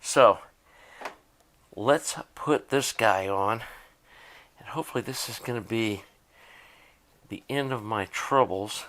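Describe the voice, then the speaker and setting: A man's voice: fairly low pitch, slow, pauses often, fairly bright tone. A man giving a casual, unscripted narration of a repair, thinking aloud in a plainspoken way as he works. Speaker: hobbyist demonstrating a tape deck repair.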